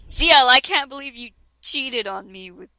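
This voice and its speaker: Woman reading a parody story aloud: bright, slow, giggly, dry close sound. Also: sits high, expressive, dry recording, low-quality recording. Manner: giggly, keeps breaking into laughter and sarcastic asides. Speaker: reader of a parody fan fiction. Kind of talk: comedic dramatic reading with a friend.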